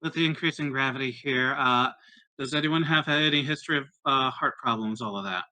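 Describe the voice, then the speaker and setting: A man playing a tabletop roleplaying game, improvising in character in a hesitant, halting way. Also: mid-range voice, pushed and bright.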